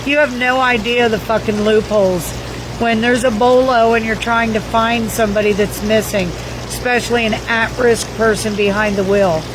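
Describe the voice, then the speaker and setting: Hoarse woman, fairly high voice, profane rambling livestream host; noisy recording. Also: steady tone, normal speed. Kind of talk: casual livestream recap reading viewer comments. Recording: noisy background.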